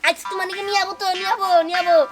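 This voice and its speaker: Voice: very high-pitched, level tone, rapid-fire. A performer voicing an exasperated, coaxing youngster in a comic cartoon, repeating words in agitation, with a Bangladeshi accent. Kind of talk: comic Bengali cartoon drama.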